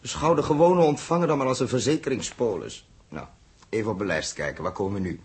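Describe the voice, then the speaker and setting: An actor playing a composed, practical spaceship captain in a 1960s radio drama, with a husky voice. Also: male voice, mid-range voice, fast, darkish tone. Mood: calm, explaining. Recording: 1960s radio play recording.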